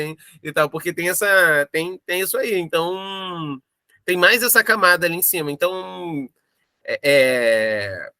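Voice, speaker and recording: Brazilian male, higher register, bright tone, informal interviewee thinking aloud, dry recording. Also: no echo. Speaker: audio drama creator interviewed in a making-of. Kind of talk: casual reflective discussion.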